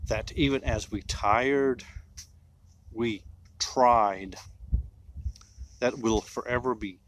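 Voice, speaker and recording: Guy reciting a solemn poem really slowly, lots of dramatic pauses, bit of background noise. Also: medium pitch. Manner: deliberate phrasing with dramatic pauses. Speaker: poetry reciter.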